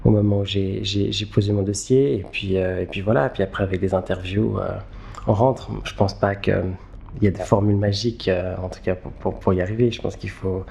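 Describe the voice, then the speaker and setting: Man, lowish dark relaxed voice, chatting as a guest, lots of halting repeats. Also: gravelly, a little hiss or hum. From Geneva, Switzerland. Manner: hesitant, halting, repeats words as he thinks. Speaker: interview guest, filmmaker. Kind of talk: conversational interview answers.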